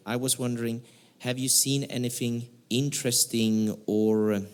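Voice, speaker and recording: An audience member politely asking a panel guest a question. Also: mid-range voice. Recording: reverberant convention hall.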